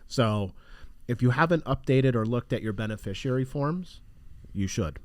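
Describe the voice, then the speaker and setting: A man co-hosting a finance podcast, raspy from allergies, explaining estate planning in a loose, conversational way. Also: medium pitch, expressive, unhurried, darkish tone, dry recording. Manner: loose, conversational, restarts often. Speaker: financial advisor co-host. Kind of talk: casual podcast chat.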